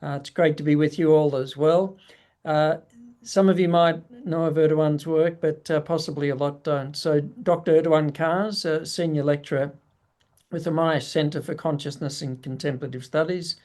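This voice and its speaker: A male host speaks over a video call with a slightly raised pitch. He introduces his guest and asks questions in a friendly, hesitant way, full of pauses and fillers. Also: fairly even intonation, darkish tone. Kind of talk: interview hosting.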